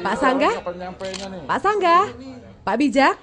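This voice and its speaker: A brisk, higher-voiced motherly organiser. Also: sing-song, a crowd in the background, exaggerated voice. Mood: brisk, organising. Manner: organising, instructive. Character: brisk, motherly woman leading a community street parade. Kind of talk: radio drama dialogue.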